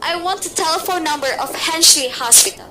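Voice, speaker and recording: A woman's voice: very high-pitched, a little fast, pushed and bright, a little hiss or hum, low-quality recording. A young actress in a student radio play, voicing a fretful, demanding invalid woman who grows panicked. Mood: impatient. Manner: fretful, imperious, rising to panic. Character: frightened bedridden wealthy woman, demanding and nervous. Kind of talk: amateur radio play acting.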